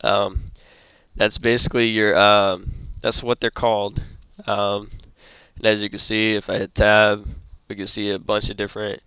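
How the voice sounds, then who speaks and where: A young man who is sick and losing his voice, hosting a casual computer tutorial and stopping often with ums and throat clearing. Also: on the low side, flat delivery, normal speed, sharp tone, no room sound. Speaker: tutorial host. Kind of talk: casual screen-recorded tutorial.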